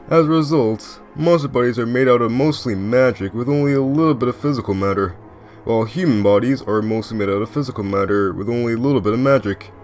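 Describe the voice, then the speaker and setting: A man's voice: medium pitch, darkish tone, close to an everyday voice. Man voicing a laid-back skeleton over music. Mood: calm explaining. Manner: casual, unbothered, drawn-out explaining. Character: laid-back, teasing, knowing skeleton older brother. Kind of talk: comic dub explanation.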